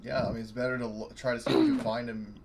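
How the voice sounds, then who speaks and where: A man's voice: medium pitch, fairly even intonation, rapid-fire, creaky, over music. A man playing a tabletop horror game, chatting and thinking aloud about tactics. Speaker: player. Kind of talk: tabletop play over voice chat.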